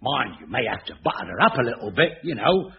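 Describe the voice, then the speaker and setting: An actor playing a cheeky, flirtatious electrician in a radio sitcom, all teasing banter. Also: male voice, medium pitch, very animated, fast talker, gravelly, husky, heavily acted. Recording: dull, hissy old radio broadcast. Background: studio audience laughter.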